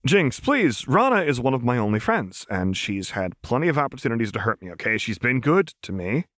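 A man's voice: lowish voice, animated, fairly quick, a bit bright, no echo, clean studio sound. A man voicing a nervous, apologetic, loyal man in an audiobook, hesitant and earnest. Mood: pleading. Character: nervous, apologetic, put-upon ordinary man, loyal friend. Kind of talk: audiobook character voice.

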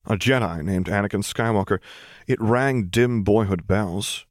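A man's voice: on the low side, measured pace, dry recording, studio quality. A man reading a story aloud as scripted narration. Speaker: audiobook reader.

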